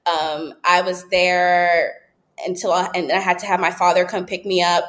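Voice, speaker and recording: A woman in her late twenties telling her story as a podcast guest, candid and relaxed, with frequent ums and likes. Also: lowish voice, moderate pace. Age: 20s. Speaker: podcast guest, app founder. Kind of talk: casual interview storytelling.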